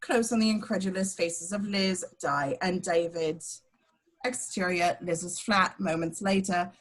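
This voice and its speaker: A woman's voice: fairly low pitch, unhurried. Woman reading screenplay stage directions. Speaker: action narrator.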